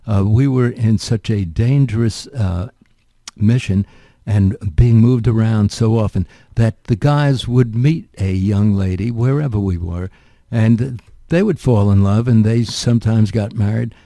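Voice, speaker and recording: A man's voice: fairly low pitch, unhurried, dark tone, no echo. An older man hosting a radio interview, asking chatty, rambling questions full of asides. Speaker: radio show host.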